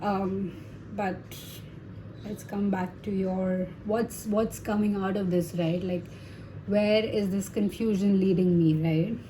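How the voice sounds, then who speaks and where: A woman's voice: medium pitch, fairly even intonation, takes it slowly, noisy background. Chatty woman giving a tarot reading. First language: Hindi. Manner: chatty, reassuring, many fillers and tag questions. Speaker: tarot reader. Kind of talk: casual tarot reading to viewers.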